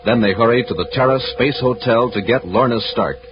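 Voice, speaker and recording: An actor as the announcer narrating a 1950s space adventure radio serial. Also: male voice, mid-range voice, a little fast, natural voice. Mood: dramatic narration. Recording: muffled vintage radio, music behind.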